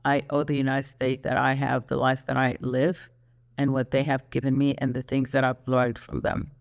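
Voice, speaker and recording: An older speaker from Iraq, an Arabic speaker hosting a solo channel monologue, earnest and reflective with pauses. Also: medium pitch, monotone, vocal fry. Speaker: YouTube channel host.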